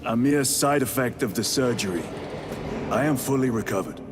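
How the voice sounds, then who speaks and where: An actor playing a stoic, seasoned space soldier in a video game, formal, terse and grave. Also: male voice, mid-range voice, moderate pace, heavy background noise, close to an everyday voice. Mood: restrained, resolute. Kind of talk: dramatic video game acting.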